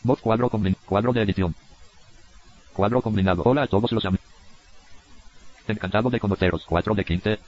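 A low male text-to-speech voice rattles off menu labels at high speed. It sounds mechanical and clipped, with words often chopped short, and the audio is dull. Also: fairly quick, long pauses. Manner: flat, mechanical, often cut off mid-word. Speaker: screen reader. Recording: dull, lo-fi computer audio capture.

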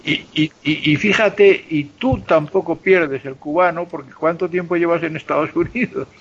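A man's voice: fairly high pitch, moderate pace, highs cut off. A man hosting a radio chat, playful and teasing. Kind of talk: casual chat.

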